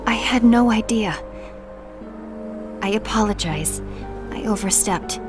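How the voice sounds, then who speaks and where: An actress voicing a gentle, concerned young woman in a video game cutscene, with music behind. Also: medium pitch, slow pace, close to an everyday voice. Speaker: game character.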